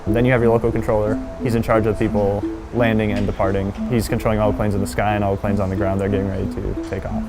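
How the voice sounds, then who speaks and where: A man's voice: lowish voice, level tone, rapid-fire, hardly any pauses, poor audio. A young man, an air traffic controller, explaining his job plainly in a documentary interview. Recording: music bed behind voice.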